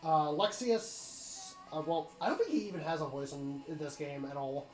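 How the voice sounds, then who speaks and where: A man's voice: a little high, expressive, moderate pace, a little mellow. A man hosting a casual game playthrough, explaining things directly to the viewers as he comments. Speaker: host of a game cutscene playthrough. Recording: roomy echo, music behind. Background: game music.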